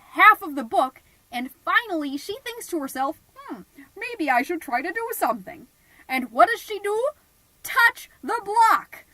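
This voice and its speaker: A woman's voice: very high-pitched, fairly bright tone, dry recording, exaggerated voice, low-quality recording. A woman playing a self-important, theatrical suburban mom who tells her story in a comedic scripted podcast monologue. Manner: theatrical, pompous, indignant. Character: melodramatic suburban mom, self-important party planner, easily exasperated. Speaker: podcast host character narrating.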